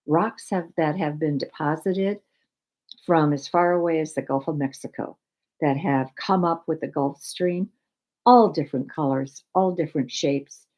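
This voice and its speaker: Slow, low-voiced American woman teaching. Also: a little mellow. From United States, raised in Michigan. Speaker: spiritual teacher. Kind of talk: informal spiritual talk with personal anecdotes.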